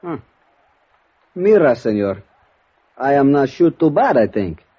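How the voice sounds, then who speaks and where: A man's voice: mid-range voice, sing-song, slightly rough. An actor playing a polite, cheerfully deadly Mexican gunman with a Mexican accent and broken English in a 1950s radio drama. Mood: cool, pleased. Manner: broken English, deliberate phrasing. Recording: dull, muffled 1950s radio recording.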